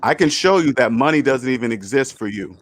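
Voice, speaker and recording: A man's voice: medium pitch, expressive, brisk. Emphatic man in his fifties. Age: 50s. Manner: emphatic, repeats points. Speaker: host. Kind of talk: call-in money talk.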